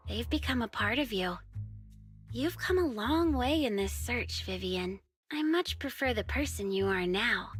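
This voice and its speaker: A woman's voice: fairly high pitch, fairly bright tone. A woman playing a confident, teasing hacker who sells information and looks out for her friends, in a casual, breezy video game style. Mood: warm, encouraging. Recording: game music playing behind the voice.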